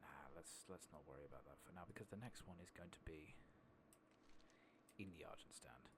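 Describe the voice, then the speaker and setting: Deep gravelly guy murmuring soft asmr game commentary, rambly, noisy background with clicks. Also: moderate pace. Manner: rambling, thinking aloud, casual swearing. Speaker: gaming streamer.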